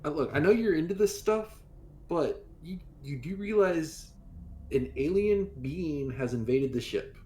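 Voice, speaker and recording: A man's voice: a little high, expressive, unhurried, a little mellow. A man speaking casually in character as a player in a tabletop roleplaying game.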